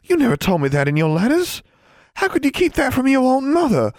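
A man's voice: medium pitch, lively intonation, normal speed, darkish tone, dry recording, clean studio sound, pitched above the performer's own voice, natural voice. A man voicing a caring, earnest teenage girl in an audiobook, pleading with strong emotion. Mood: distraught, pleading.

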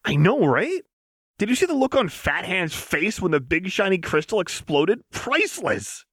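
A man's voice: high voice, sing-song, moderate pace, fairly bright tone, husky, no room sound, studio quality, pitched above the performer's own voice, exaggerated voice. A man voicing a cocky, playful young woman gunfighter in an audiobook, brash and teasing. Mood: gleeful, crowing.

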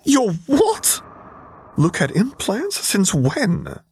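A squeaky young man from an audiobook, acted by a male reader, jumps far up in pitch. He sounds anxious and flustered, as if permanently wrong-footed. There is clear hiss behind him. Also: wildly swooping pitch, extremely slow, full character voice. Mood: shocked.

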